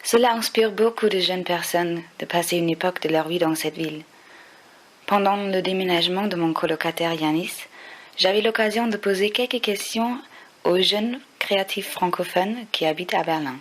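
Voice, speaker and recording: A woman narrating an introduction and asking interview questions in a measured way. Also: fairly low pitch.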